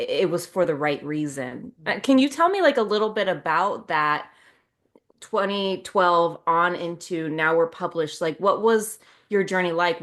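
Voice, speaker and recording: Slightly low-voiced woman, rambling chat. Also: a bit bright. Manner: rambling, conversational, frequent "like". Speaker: podcast co-host. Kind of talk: casual chat.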